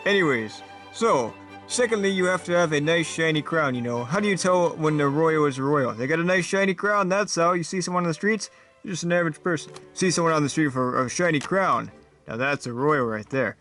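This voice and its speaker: A man's voice: a little high, fairly quick, a bit bright, soft background music, close to an everyday voice. Man putting on a self-assured, chatty cartoon king. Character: pompous male king guest, cool and chatty. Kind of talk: mock talk show interview.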